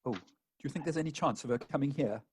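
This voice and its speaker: A man's voice: medium pitch, fast talker, a little mellow, slight background noise, close to an everyday voice. A man playing a gloomy, anxious jilted bridegroom in a comic farce, plaintive and formal. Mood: nervous. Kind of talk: comic stage farce acting.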